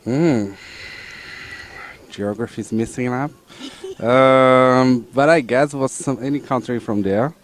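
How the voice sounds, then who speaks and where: A man's voice: medium pitch, slow pace, pauses often. A man answering a street interview outdoors, hesitant and thinking aloud as he guesses. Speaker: passerby interviewed.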